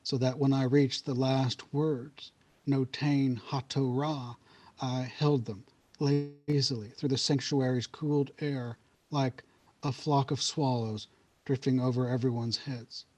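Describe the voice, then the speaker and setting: Man, middle-aged author, steady deliberate reading, middle-pitched voice. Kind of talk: literary reading then conversation.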